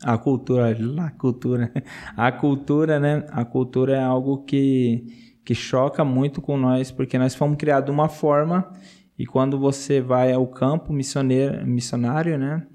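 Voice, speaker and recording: Missionary man, mellow and earnest, laughs easily, repeats himself. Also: medium pitch, steady tone, moderate pace. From Brazil. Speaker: missionary guest. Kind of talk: casual interview testimony.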